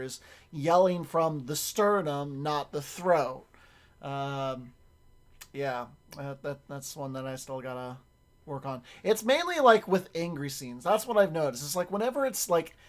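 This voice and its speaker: Raspy young guy rambling on stream, slightly high voice, keeps restarting his sentences. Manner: rambling, self-correcting, swears casually. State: raspy, strained voice. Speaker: streamer and voice actor. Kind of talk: casual stream chat.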